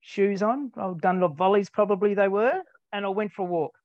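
A man's voice: high voice, moderate pace, dry recording. Older man, Australian accent, rambling, self-deprecating, dull dry recording. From Tasmania, Australia. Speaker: interview guest. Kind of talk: casual conversational storytelling.

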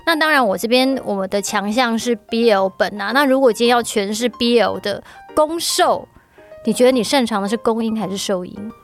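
A woman's voice: fairly high pitch, brisk, soft background music. A woman with a Taiwanese Mandarin accent chatting casually as a podcast host. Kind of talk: casual chat.

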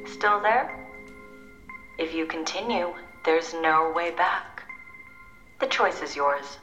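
Slow, ominous agency phone greeter. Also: female voice, lowish voice, lively intonation, bright, forceful tone, full character voice. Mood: cool, ominous. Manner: measured, ominous, clipped phrases. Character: cool, secretive voicemail greeting woman of a shadowy agency. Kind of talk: scripted audio drama phone message. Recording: roomy echo, music underneath.

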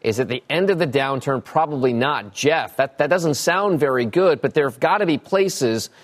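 A man's voice: medium pitch, expressive, moderate pace. A man with an American accent anchoring a live business news broadcast, presenting and putting questions to guests.

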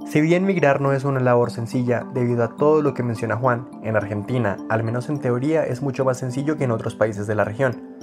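A male podcast host reads his scripted narration at a brisk pace, with clear, even phrasing. His voice sits at a middle pitch for a man, and soft music plays faintly underneath. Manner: clear, steady, read-aloud delivery.

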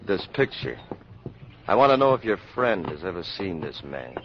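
A firm, blunt doctor. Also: male voice, sits low, very animated, normal speed, a little hiss or hum. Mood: determined. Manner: firm, clipped, insistent. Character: determined public health doctor, firm and blunt. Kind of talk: 1950s radio drama acting. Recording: dull old radio broadcast recording.

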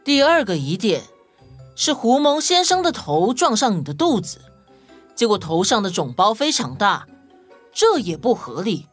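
Woman acting young detective with lively, swinging pitch. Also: slightly rough. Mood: accusing, reasoning. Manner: deliberate, reasoning, matter-of-fact. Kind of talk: children's audio story acting. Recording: music under the voice.

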